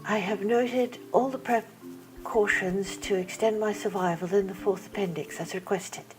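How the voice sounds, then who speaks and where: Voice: medium pitch, fairly even intonation, normal speed, pitched above the performer's own voice, lightly acted, low-quality recording. A performer voicing a timid female bird-like alien scientist, formal, deferential and chirpy, in an audiobook story. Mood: humble, reverent. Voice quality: chirpy, singsong. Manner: meek, deferential, formal pleading. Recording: music bed behind.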